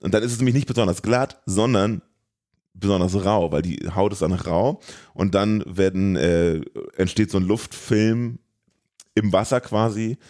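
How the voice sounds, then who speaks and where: Low-voiced man chatting casually as podcast co-host. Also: moderate pace, a little mellow. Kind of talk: casual podcast chat about films.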